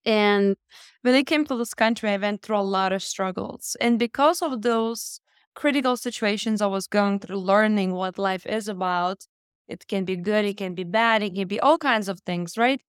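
A woman's voice: medium pitch, normal speed, smooth, dry recording, studio quality. A woman from Lithuania with a Lithuanian accent, speaking as an interview guest and telling her personal story in an earnest, emphatic way. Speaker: interview guest, health entrepreneur.

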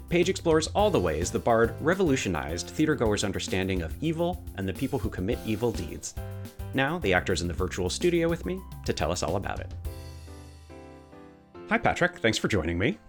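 Male interview host, lowish voice with very lively intonation, conversational podcast delivery, music in the recording. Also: fairly quick.

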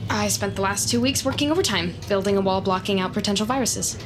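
An actress playing an awkward, eager-to-please office analyst in a comedic audio drama, hesitant and nervously over-polite. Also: female voice, medium pitch, quick pace, noisy background, close to an everyday voice. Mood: flustered. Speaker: actor in a scripted audio drama.

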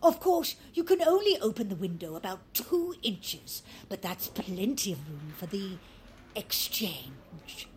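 A woman's voice: mid-range voice, very animated, unhurried, slight background noise. An actress playing a mischievous, imperious old British grandmother in an audio drama, blunt and sardonic. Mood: gleeful. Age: older.

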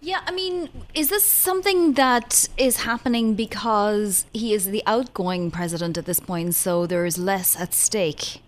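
A woman's voice: mid-range voice, measured pace, few pauses. A woman co-hosting a news programme, asking a guest questions in a conversational broadcast style. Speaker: radio news co-host.

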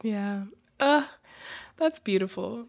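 A woman's voice: medium pitch, lively intonation, slow pace, creaky. A woman hosting a podcast in a casual, conversational style, informal and effusive. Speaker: podcast host.

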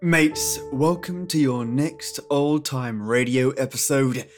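A man's voice: medium pitch, slow. A man reading a scripted podcast introduction as its host, with music behind him. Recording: music under the voice. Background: music, guitar.

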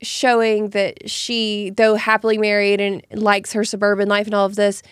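A woman's voice: mid-range voice, monotone, normal speed, smooth, no room sound, clean studio sound. Slightly nasal woman getting over flu. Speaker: podcast co-host. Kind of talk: casual chat.

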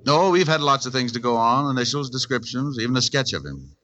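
An actor playing a tough, wisecracking private detective in 1940s radio style, with a wry, slangy delivery. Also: male voice, medium pitch, lively intonation, a little fast, pushed and bright. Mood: weary. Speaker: lead actor and narrator. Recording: dull vintage 1940s radio recording.

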